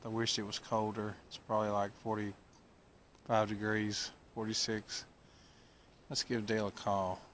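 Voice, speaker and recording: A man's voice: lowish voice, level tone, measured pace, fairly bright tone. A man hosting an outdoor vlog, explaining things casually to camera with folksy, hesitant phrasing, recorded outside in the woods. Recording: noisy outdoor camera audio.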